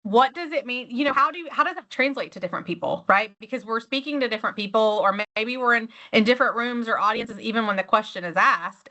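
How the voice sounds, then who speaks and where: A podcast host, a woman whose bright voice sits fairly high. She talks in a chatty, enthusiastic way, full of fillers and quick restarts. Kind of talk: casual interview chat.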